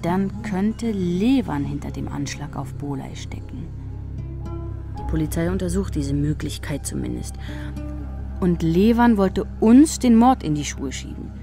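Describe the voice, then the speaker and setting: Probing investigator over music. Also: female voice, on the low side, animated, measured pace, creaky. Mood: pondering. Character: probing female investigator, sober and persistent. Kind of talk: German crime radio drama acting.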